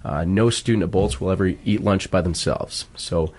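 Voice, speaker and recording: A man speaking as a school football coach in a radio interview, earnest and halting as he explains. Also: deep voice, a little mellow, a little hiss or hum.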